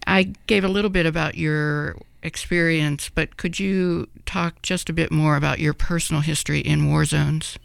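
A woman radio host in a studio, giving measured, formal introductions to her guest. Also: deep voice, fairly even intonation, vocal fry, dry recording. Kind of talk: radio interview.

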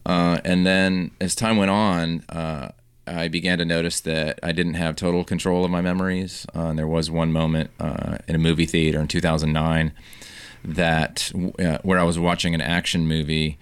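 Halting deep-voiced man in interview. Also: level tone, clean tone, no room sound. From Southern California. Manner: halting, many restarts and fillers. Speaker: guest author. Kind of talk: radio interview. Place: radio studio.

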